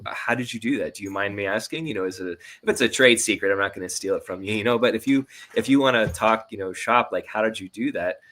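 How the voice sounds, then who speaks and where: A man's voice: lowish voice, fast talker, hardly any pauses, a bit bright. A man speaking as a sound designer guest in a casual interview, informal and encouraging.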